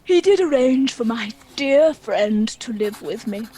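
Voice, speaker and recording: A woman's voice: fairly high pitch, lively intonation, measured pace, warm tone, breathy edge. A woman playing a homely, wry, motherly female dragon in a stage play, dignified and protective. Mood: fond, drowsy.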